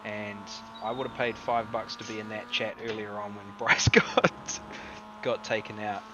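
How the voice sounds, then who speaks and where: Relaxed New Zealand race commentator, distant mic. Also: male voice, on the low side, moderate pace. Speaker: sim racing commentator. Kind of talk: casual live race commentary. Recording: distant mic with noise and hum.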